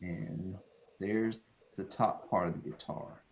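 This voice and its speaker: A man's voice: sits low, steady tone, takes it slowly, muffled recording, a little hiss or hum. A man, an illustrator talking casually and playfully as he demonstrates drawing. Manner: folksy, playful, self-described silly. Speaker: children's book illustrator.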